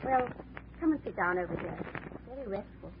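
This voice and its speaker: A woman's voice: mid-range voice, animated, moderate pace, close to an everyday voice. Actress as a coy British woman, tinny recording. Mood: coy, flirtatious. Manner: coy, teasing. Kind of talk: old-time radio drama acting. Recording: tinny, noisy vintage radio recording.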